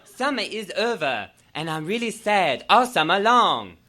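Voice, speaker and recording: High, bright man playing a gushing teenage fangirl. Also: sing-song, unhurried, heavily acted. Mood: gushing, petulant. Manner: overwrought, exclaiming, emphatic. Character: gushing, melodramatic nineteen-year-old fangirl narrating her own fanfiction. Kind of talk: comedic dramatic reading.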